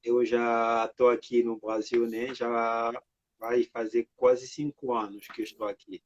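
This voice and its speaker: A man from the Democratic Republic of the Congo answering interview questions over a video call, halting, with many filler words. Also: medium pitch, little pitch movement, slight background noise. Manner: halting, frequent "né" and "sabe" fillers. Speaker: artist and guest. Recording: dry lo-fi video call audio.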